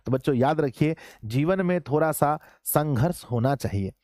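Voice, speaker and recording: A slightly hoarse man runs a casual online lesson, joking with his students and calling them children. His voice sits a bit high and sounds close and dry, with no room around it. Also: lively intonation, normal speed, a little mellow, no room sound. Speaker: online Hindi teacher. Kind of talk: casual live class lesson.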